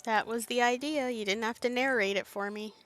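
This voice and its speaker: High-voiced playful woman streaming a game. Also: steady tone, brisk, a bit bright, soft background music. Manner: playful, uses cutesy interjections. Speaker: video game livestreamer. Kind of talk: casual gameplay commentary, chatting to herself.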